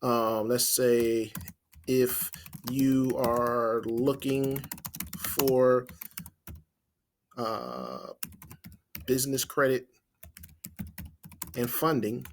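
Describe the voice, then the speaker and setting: A man's voice: mid-range voice, lively intonation, extremely slow, long pauses, slight background noise. A man presenting an informal screen-share tutorial as a marketing trainer, explaining step by step and thinking aloud as he types.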